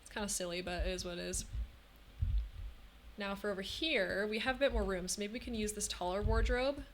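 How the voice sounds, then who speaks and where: A woman's voice: mid-range voice, brisk. A young woman talking casually through a live game stream, thinking aloud with lots of hmms and ums. Her throat feels a little scratchy.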